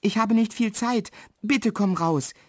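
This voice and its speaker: A woman's voice: medium pitch, unhurried, darkish tone, no room sound, studio quality, pitched above the performer's own voice, lightly acted. A woman voicing a kind, plucky and eager little girl in a children's storybook. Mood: apologetic, urging.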